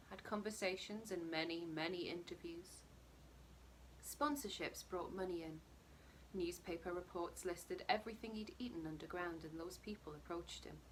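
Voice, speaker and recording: Low-voiced woman reading fiction, noisy background. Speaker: story reader. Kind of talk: narrated short fiction reading.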